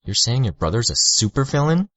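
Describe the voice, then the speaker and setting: A wary teenage girl voiced by a man reading aloud, dry and evasive, keeping her answers careful. Her voice is pitched up from his deep register. The recording is dry and a bit dull. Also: big pitch swings, brisk, a bit bright, no room sound. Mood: guarded.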